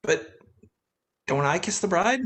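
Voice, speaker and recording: A man's voice: high-pitched, lively intonation, slow, a bit bright, full character voice. A man playing a cheerful, wisecracking former private detective in a radio play, dry and flippant. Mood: wry, flippant.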